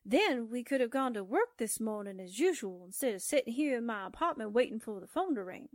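A woman's voice: on the high side, expressive, fairly quick, no room sound, clean studio sound, lightly acted. A woman playing a dreamy, gullible young secretary in an old-time radio drama, with a gushing, wistful and naive manner. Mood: wistful, chatty.

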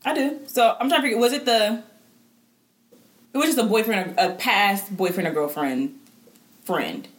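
A young woman hosting a podcast, animated and frank, swearing casually and laughing a lot. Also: medium pitch, moderate pace, long pauses. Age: young adult. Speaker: podcast host. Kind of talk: casual chat.

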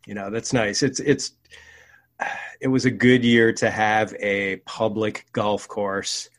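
A man's voice: fairly low pitch, level tone, takes it slowly, a bit bright. An American man appearing as a podcast guest, modest and friendly, talking with plenty of fillers. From United States. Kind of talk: casual chat.

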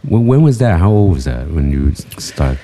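A man's voice: low-pitched, big pitch swings, normal speed, warm tone, slight background noise. A laid-back man co-hosting a casual interview, reacting with short remarks. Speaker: podcast co-host.

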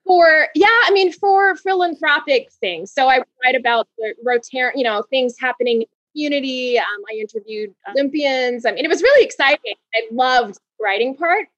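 A woman in her forties from Hawaii, a guest telling her story in an interview, bubbly and quick to laugh, restarting words. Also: sits high, lively intonation, a bit bright, dry recording, highs cut off.

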